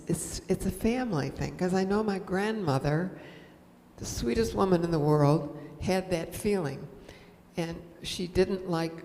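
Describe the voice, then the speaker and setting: A woman's voice: fairly low pitch, gravelly, a little mellow. An American woman in her seventies or older telling a personal story to a group in short, plain-spoken phrases. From United States. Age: 70s or older. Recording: echoey hall, some background noise.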